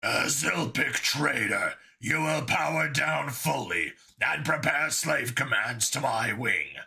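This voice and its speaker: A man voicing an angry giant bug military commander in an audiobook, with a gravelly deep voice and slow, imperious delivery. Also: animated, sharp tone, exaggerated voice. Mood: menacing command.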